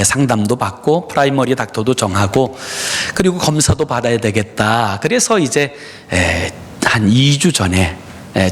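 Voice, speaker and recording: A middle-aged male pastor gives a Sunday sermon in his church. He speaks to the congregation in an earnest, personal way and often pauses on "uh". His pitch moves up and down a lot as he talks. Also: breathy edge. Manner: frequent "에" fillers, personal and earnest, addresses congregation. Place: church sanctuary.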